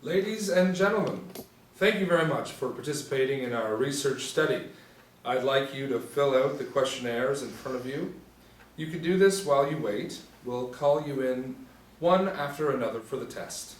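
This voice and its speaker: A man reads a polite, businesslike scientist in his thirties from a drama script. He gives formal instructions at medium pitch, in an echoey room off the mic with faint noise. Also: lively intonation, normal speed, far from the mic, lightly acted. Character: formidable mid-thirties research doctor, polite and businesslike. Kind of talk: table-read drama.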